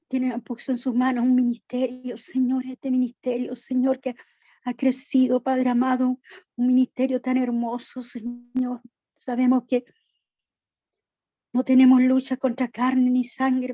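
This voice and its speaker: A woman prays fervently in a high voice that stays almost on one note, returning to the same address between each short phrase. Her tone is mellow and the sound is dry, close and a little muffled. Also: dry recording. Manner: repeats "Señor" and "Padre amado" between every phrase; pleading and intercessory. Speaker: person leading prayer. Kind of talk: fervent spoken prayer.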